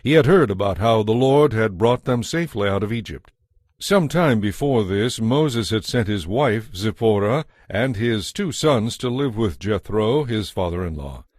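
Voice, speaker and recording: Low-pitched male Bible narrator. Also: animated, darkish tone, no room sound, studio quality. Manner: measured storytelling.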